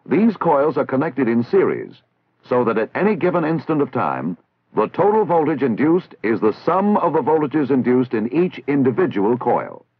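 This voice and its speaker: A man's voice: mid-range voice, very animated, breathy edge, dry recording. Tinny vintage American male training-film narrator. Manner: formal, measured, didactic enunciation. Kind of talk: scripted instructional narration, 1960s military training film. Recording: tinny, band-limited 1960s film soundtrack.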